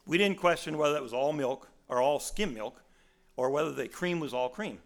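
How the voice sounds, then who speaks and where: A man in his sixties with a raspy voice, preaching a sermon in a folksy storytelling way with many restarts. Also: fairly high pitch, lively intonation, moderate pace.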